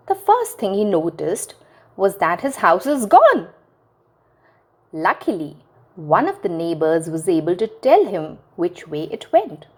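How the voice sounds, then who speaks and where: Female storyteller for kids, very animated pitch, slow and clear read-aloud delivery. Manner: expressive, sing-song storytelling to children. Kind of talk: reading a children's picture book aloud.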